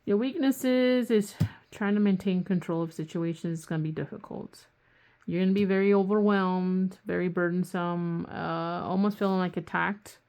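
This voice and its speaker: Gravelly, rambling woman tarot reader. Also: on the low side, fairly even intonation, moderate pace, a little mellow. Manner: rambling, often restarts sentences; swears casually. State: losing her voice. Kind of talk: casual tarot reading to camera.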